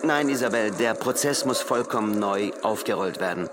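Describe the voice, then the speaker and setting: A man's voice: on the low side, animated, close to an everyday voice. Man acting a sober reporter amid street noise. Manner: measured, explanatory. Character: sober, factual male court reporter live on location. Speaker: news reporter in a radio drama. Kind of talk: live news report as radio drama. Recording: noisy outdoor street ambience.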